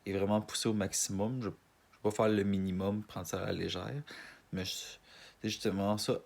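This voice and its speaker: Casting note: young Montreal man, Québécois accent, slightly low voice, halting and unpolished. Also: flat delivery, creaky, darkish tone. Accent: Québécois French. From Montreal, Canada. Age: 20s. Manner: hesitant, rambling, many fillers and restarts. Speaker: casting applicant. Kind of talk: self-introduction video.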